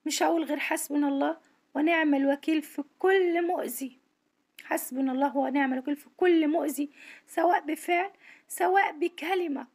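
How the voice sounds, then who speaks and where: A woman's voice: high voice, a little fast, a little mellow. A woman with an Egyptian accent, a social worker, speaking to camera from home with a tired, strained voice in an earnest, indignant appeal. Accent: Egyptian Arabic. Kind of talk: monologue to camera.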